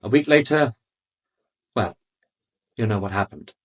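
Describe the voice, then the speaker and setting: An actor playing an arrogant, urbane British doctor in a radio drama, speaking with haughty, defensive precision. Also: male voice, on the low side, expressive, a little slow, husky. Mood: dismissive, defensive. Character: arrogant, urbane male doctor under police questioning.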